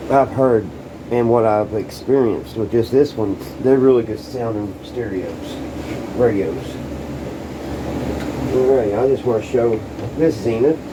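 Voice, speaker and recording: A man giving a casual hands-on demonstration to camera as a hobbyist, talking in an informal, folksy way and thinking aloud as he works. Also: medium pitch. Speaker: hobbyist showing restored vintage radios. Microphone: handheld camera, sometimes set down farther away. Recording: loud background hum and ambient noise.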